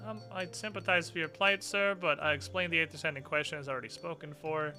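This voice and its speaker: A man's voice: on the high side, steady tone, quick pace, bright, forceful tone, faint music behind. A man voicing a polite, welcoming female shopkeeper who gets flustered and stammers in customer-service talk. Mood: flustered, apologetic.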